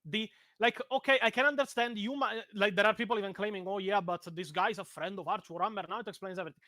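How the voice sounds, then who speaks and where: Italian-accented man, high voice, dry mic, blunt and profane on a call. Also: steady tone, fast talker, bright, forceful tone, no room sound. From Italy. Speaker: guest commentator. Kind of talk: heated casual video-call chat. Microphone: video call.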